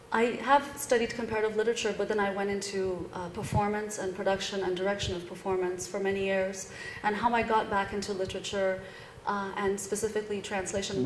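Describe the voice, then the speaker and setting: A middle-aged woman, a literary translator whose first language is Persian, talks to a live audience at a reading event. She explains her work in a thoughtful, steady way and pauses often on fillers. Her pitch sits in a middle range, with a faint hiss of noise under the recording. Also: rough recording. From born in London, grew up partly in Iran. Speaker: literary translator giving a talk.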